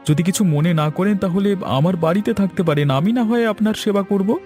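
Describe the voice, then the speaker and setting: A man's voice: sits high, fairly quick, darkish tone, faint music behind, lightly acted. A man voicing a timid, deferential and helpful village man in a cartoon, with hesitant, stammering speech. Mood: polite, nervous. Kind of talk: cartoon voice acting.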